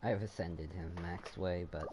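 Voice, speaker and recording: A man casually commenting on a game as he plays, thinking aloud in a halting, rambling way. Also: deep voice, steady tone, background noise. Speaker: gamer playing and commenting.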